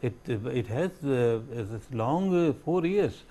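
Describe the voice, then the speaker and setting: A man with an Indian accent giving his views as a panel guest, halting and searching for words. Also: mid-range voice, lively intonation, warm tone. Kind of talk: panel discussion commentary.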